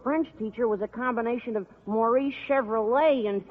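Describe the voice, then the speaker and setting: An actor playing an earnest, long-winded, lovesick high school boy with a whiny delivery in a vintage radio sitcom. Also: normal speed. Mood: worried, earnest. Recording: tinny vintage radio broadcast.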